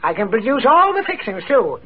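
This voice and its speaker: A crotchety old miser, high-pitched and animated. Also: male voice, brisk, slightly rough, exaggerated voice. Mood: gleeful, generous. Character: crotchety old miser Scrooge, comically reformed. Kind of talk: 1940s radio comedy acting. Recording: tinny, noisy old radio broadcast.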